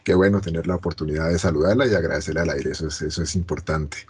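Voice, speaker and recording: A man's voice: rumbling low, fairly even intonation, racing, breathy edge. A man hosting a university program, asking long, friendly questions. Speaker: university radio host. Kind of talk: interview.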